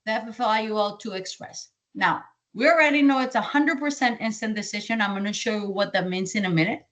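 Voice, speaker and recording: High-voiced Colombian woman, sales trainer, lively, repeats key points. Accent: Colombian Spanish. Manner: energetic, repeats key points, asks and answers own questions. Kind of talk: training presentation.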